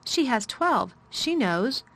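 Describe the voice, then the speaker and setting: A woman's voice: fairly high pitch, big pitch swings, slow, a bit bright, pitched above the performer's own voice, exaggerated voice. A woman voicing a timid, stiff little orphan girl in a storybook reading; she speaks hesitantly and stammers.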